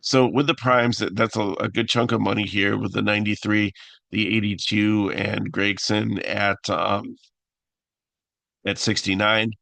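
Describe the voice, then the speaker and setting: A man with a raspy voice hosting a casual fantasy picks talk, halting with many fillers and restarts. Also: fairly low pitch, level tone, fairly bright tone, no room sound. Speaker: fantasy sports analyst host.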